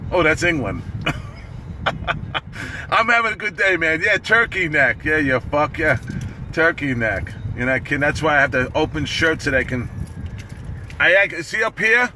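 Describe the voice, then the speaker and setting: Raspy middle-aged man chatting from his car. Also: a little high, expressive, unhurried, pauses often, pushed and bright, a little hiss or hum. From New York. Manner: loose, profane, chatty, laughing often. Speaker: livestream host. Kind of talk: casual livestream chat. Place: parked car. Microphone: phone at arm's length.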